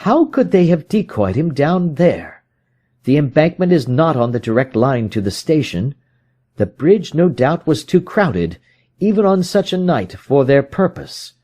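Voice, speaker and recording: A man's voice: on the high side, big pitch swings, unhurried, dark tone, voice pushed up. A man voicing a proud, brooding detective in an audiobook, with an emphatic, agitated way of declaring things. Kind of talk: dramatic audiobook character reading.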